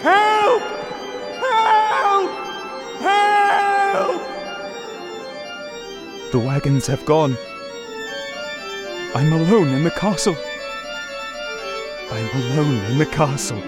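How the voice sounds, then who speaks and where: A man's voice: sits high, drawn-out words, pauses often, heavily acted. An actor playing a fearful young man trapped alone, in melodramatic old-time radio style. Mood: desperate panic. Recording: organ music loud behind.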